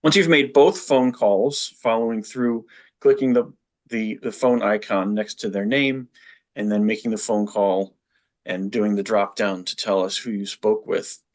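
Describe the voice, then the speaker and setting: A man presenting a training webinar, explaining software step by step in a methodical way. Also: lowish voice.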